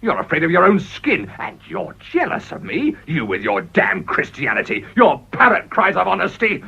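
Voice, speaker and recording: A man's voice: on the high side, huge pitch swings, moderate pace, creaky, sharp tone, breathy edge, heavily acted. An actor playing a ruthless, desperate middle-aged businessman in a radio drama, with a British accent and a blustering, wheedling delivery. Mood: bitter fury. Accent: British English. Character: ruthless, desperate, smooth-talking male businessman and political climber. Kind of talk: radio play acting. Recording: dull, muffled old radio recording.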